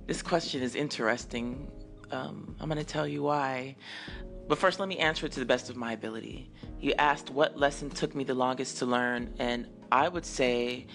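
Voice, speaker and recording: A woman in her forties hosting her own podcast, answering listener messages in an earnest, unhurried, affirming way with a low, husky morning voice. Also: a bit bright. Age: 40s. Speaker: singer-songwriter hosting a call-in podcast.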